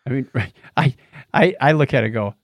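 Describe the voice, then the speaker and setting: A man's voice: mid-range voice, normal speed, no echo, studio quality. A middle-aged man with an American accent hosting a podcast, telling anecdotes in a casual, chatty way. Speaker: podcast host.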